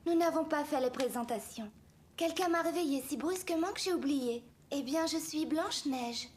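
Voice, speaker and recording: A woman playing a gentle, innocent young princess in a French-dubbed cartoon fairy tale, speaking politely and earnestly. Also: sits high, normal speed, a little hiss or hum, lightly acted. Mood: polite, cheerful. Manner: courteous, formal, earnest speech.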